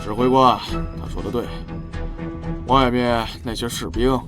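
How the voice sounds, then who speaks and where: A worried male subordinate officer played by a man in an animated comic drama. His voice is medium in pitch and deferential, with music clearly audible behind it. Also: a little slow, lightly acted. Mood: hesitant, worried. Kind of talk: motion-comic drama dubbing.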